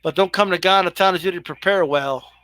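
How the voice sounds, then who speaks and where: A man's voice: sits high, fast, bright, forceful tone, no room sound. Young male stream host, dry close sound, loose profane banter with chat. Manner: profane, chatty, banters with viewers. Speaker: boxing live-stream host. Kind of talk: casual live commentary to chat.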